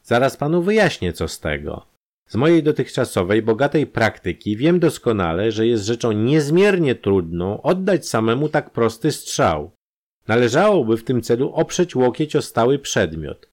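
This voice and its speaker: A man's voice: medium pitch, sing-song, moderate pace, darkish tone, pitched above the performer's own voice, close to an everyday voice. A man voicing a brusque, impatient, experienced male doctor in a crime audio drama. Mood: explaining. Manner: curt and insistent.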